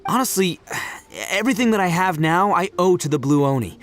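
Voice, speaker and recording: A brash young oni gang leader in a video game. He is boisterous and easygoing, with a fairly high voice that swings widely. Music runs underneath. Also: sing-song, fairly quick. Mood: sincere.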